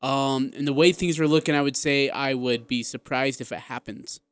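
A man's voice: mid-range voice, fairly even intonation, moderate pace, no room sound. A man with an American accent hosting a sports talk radio show, chatting emphatically in a studio.

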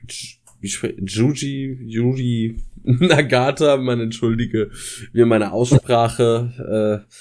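A German-accented man on a casual wrestling podcast speaks slowly at an average pitch. He hesitates often, stumbles over his words and corrects himself as he goes. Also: a little mellow. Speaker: podcast co-host.

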